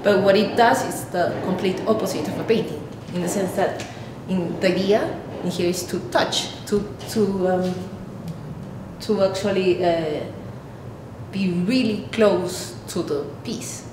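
A woman in her thirties from Peru with a Peruvian Spanish accent, an artist explaining her work haltingly, searching for words. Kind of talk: explaining own artwork to camera. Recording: echoey room, clear rumbling background noise.

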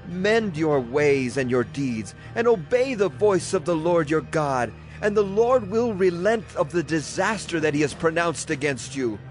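A man playing an earnest prophet who declaims stern warnings in a dramatized scripture reading. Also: a little high.

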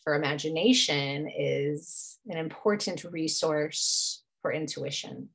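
A woman guiding a meditation as a yoga nidra teacher, soothing and unhurried, leaving long pauses between phrases. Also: low-pitched, fairly bright tone. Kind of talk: guided meditation.

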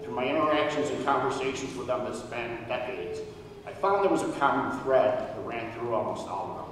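A man's voice: medium pitch, lively intonation, a little fast, a bit bright, a little hiss or hum. A middle-aged man giving an earnest, formal tribute speech at a podium on an auditorium stage. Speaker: speaker at a hall of fame induction. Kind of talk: prepared speech read at a podium. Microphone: podium microphone, recorded from far. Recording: echoey hall from far off.

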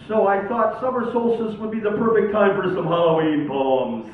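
A man's voice: high-pitched, normal speed. A man who is a poet, performing at a live reading in a theatrical, dramatic manner. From Oregon, United States. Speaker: poet reading own poems. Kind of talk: live poetry reading with informal introductions. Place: live poetry night venue, recorded from a distance. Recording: tinny, very echoey, distant, faint noise.

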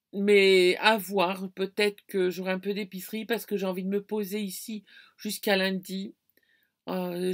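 A middle-aged woman chatting casually to her viewers from inside a camper van, hesitant and full of fillers, filmed close up. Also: medium pitch. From France. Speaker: vlogger. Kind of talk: casual travel vlog. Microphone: phone or camera close to face.

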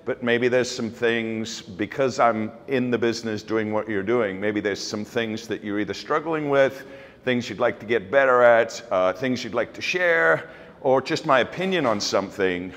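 A man's voice: mid-range voice, moderate pace, a bit bright. A middle-aged man from South Africa with a South African accent, giving a casual keynote talk with certainty and lots of fillers. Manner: certain, blunt, many fillers and restarts. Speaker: keynote speaker. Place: large open office event space. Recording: long reverb in open room.

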